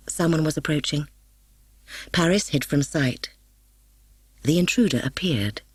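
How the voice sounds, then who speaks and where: A woman reading a story as an audiobook narrator, expressive and solemn. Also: low-pitched, slightly rough, no echo. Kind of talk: dramatic scripted story narration. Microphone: close studio microphone, dry.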